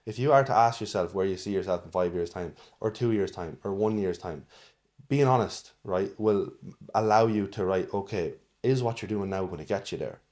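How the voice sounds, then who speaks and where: Earnest young Irish man, lower voice. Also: moderate pace, a little mellow. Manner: earnest, repeats himself, frequent "like" fillers. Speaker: podcast guest, fitness coach. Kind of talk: casual conversational interview.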